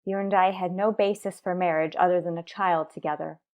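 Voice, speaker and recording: Resolute, wronged wife for a daytime radio soap, female actor. Lowish pitch, earnest and restrained delivery. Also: fairly even intonation, fairly quick, darkish tone, natural voice. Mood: resigned, bitter. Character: resolute, aggrieved wife and mother in troubled marriage.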